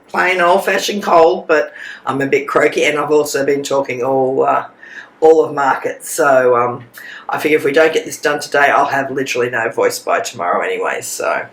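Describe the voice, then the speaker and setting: An Australian woman with a cold, croaky and sleep-deprived, chatting and rambling as she co-hosts a stitching vlog from a hotel room. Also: very deep voice, normal speed, echoey room, low-quality recording. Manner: chatty, rambling, warm asides. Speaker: co-host. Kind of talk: casual chat.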